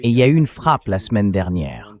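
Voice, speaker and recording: A man's voice: on the low side, very animated, a little fast, a little mellow. A man hosting a political commentary show in a French translated voice-over, speaking critically with incredulous rhetorical turns.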